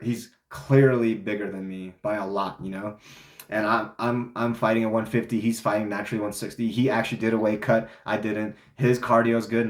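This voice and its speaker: A man's voice: on the low side, roomy sound. A young man telling a story in a casual, rambling way, full of fillers and restarts, sounding congested. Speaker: vlogger.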